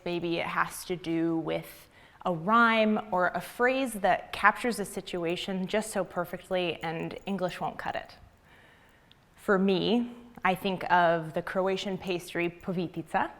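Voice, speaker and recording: Earnest woman giving a measured talk. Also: on the low side, a bit bright. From United States. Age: young adult. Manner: measured, earnest, deliberate pauses. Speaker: graduate student speaker. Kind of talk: prepared public talk.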